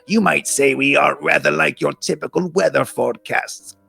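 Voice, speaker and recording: A man's voice: fairly high pitch, normal speed, creaky, pushed and bright, slightly rough, faint music behind, heavily acted. A man voicing a formal, orderly alien ant commander in a storybook, speaking in careful, precise phrases. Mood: formal, explanatory. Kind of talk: storybook character reading.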